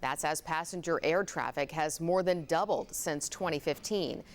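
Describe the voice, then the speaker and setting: A woman's voice: low voice, moderate pace. An American woman anchoring TV news, reading from a script.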